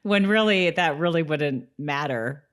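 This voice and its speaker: A woman's voice: sits low, animated, measured pace. A woman co-hosting a podcast, recapping in an informal, giggly way, sometimes reading from a summary. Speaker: podcast co-host. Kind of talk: casual recap chat with read-aloud summaries.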